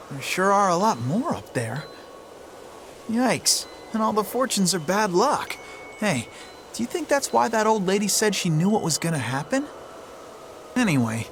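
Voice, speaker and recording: Brash young thief, high voice, bouncy swinging pitch, teasing, game cutscene. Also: male voice, slight background noise, heavily acted. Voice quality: lively, teasing. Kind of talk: video game dub acting.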